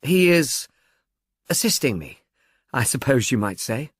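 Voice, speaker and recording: A polite detective inspector, played by a male narrator, formal and deliberate. He speaks in a measured way, as a character in an audiobook mystery with little room sound. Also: medium pitch, big pitch swings, slightly rough, no echo, clean studio sound. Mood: hesitant, formal.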